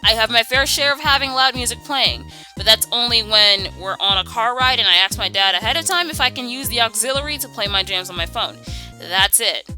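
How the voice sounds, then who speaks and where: A woman's voice: on the high side, animated, a little fast, sharp tone, faint music behind. A young woman delivering a casual scripted rant in an exasperated, sassy and opinionated way. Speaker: video creator.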